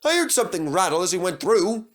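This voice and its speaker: Man as a high, earnest little storybook engine. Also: very animated, fast, a bit bright. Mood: cross. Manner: earnest, eager, indignant when crossed. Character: proud, earnest, loyal male little tank engine.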